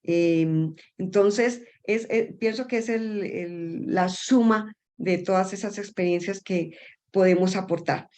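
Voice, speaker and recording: A woman's voice: mid-range voice, slow pace, mellow. A middle-aged woman talking casually as an interview guest, often searching for words and repeating them. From Colombia. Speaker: interview guest, energy company founder.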